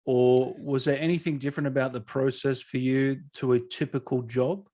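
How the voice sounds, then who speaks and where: A man's voice: medium pitch, little pitch movement, normal speed, warm tone. A man hosting an interview over a video call, reacting briefly and asking short, informal questions. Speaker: interview host.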